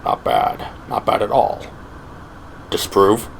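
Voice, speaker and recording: A man voicing a cool, unreadable squad captain in an audio drama, speaking in a hushed, clipped, deliberate way. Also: lowish voice, big pitch swings, gravelly, slight background noise, heavily acted, low-quality recording. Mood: cool, testing.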